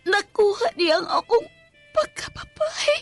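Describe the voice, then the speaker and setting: An actress playing a lovesick housemaid in a radio drama, with a Visayan Filipino accent and a tearful, deferential manner. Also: female voice, very high-pitched, sing-song, measured pace, soft background music, full character voice. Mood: tearful longing. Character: lovesick housemaid, a mother pining for her master. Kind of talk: radio drama acting with confessional narration.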